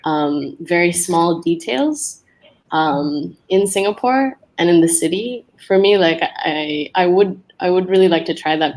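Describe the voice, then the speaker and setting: A woman's voice: on the low side, darkish tone, rough recording. Hesitant woman searching for words. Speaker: poet guest. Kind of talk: casual panel chat.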